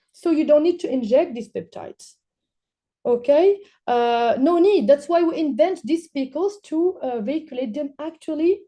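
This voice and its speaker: A woman's voice: sits high, dark tone. A woman from Morocco, not a native English speaker, presenting as a doctor and answering questions with enthusiasm. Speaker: doctor presenting at a medical webinar.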